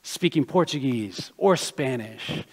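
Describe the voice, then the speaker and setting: A man in his thirties, born in Brazil and raised in America, preaching an animated sermon with personal stories from a church stage. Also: mid-range voice, slow pace, breathy edge. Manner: animated, gestures a lot while walking the stage. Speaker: pastor. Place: church stage, large room. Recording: long hall reverb.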